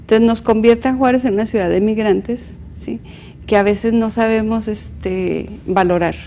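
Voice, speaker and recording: Mellow, creaky northern Mexican woman. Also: fairly high pitch, fairly even intonation, moderate pace, faint music behind. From Chihuahua, northern Mexico. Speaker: panel guest, social researcher. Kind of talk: explanatory panel discussion.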